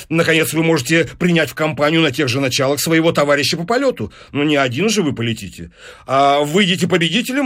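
A man's voice: a little high, a bit bright, pitched above the performer's own voice. A man voicing a pushy, calculating newspaper businessman in an audiobook, with eager salesman's patter. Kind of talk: audiobook character dialogue.

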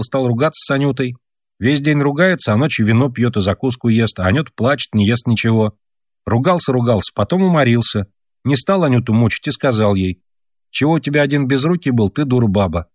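A man's voice: medium pitch, no echo, studio quality, pitched above the performer's own voice, natural voice. A man doing an earnest, indignant peasant boy. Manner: earnest, lecturing, eager storytelling.

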